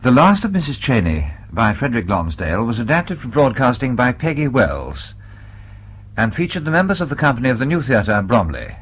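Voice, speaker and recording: A man's voice: mid-range voice, very animated, brisk, breathy edge, poor audio. A man with a Received Pronunciation accent reading a formal scripted announcement as a radio continuity announcer. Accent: British Received Pronunciation.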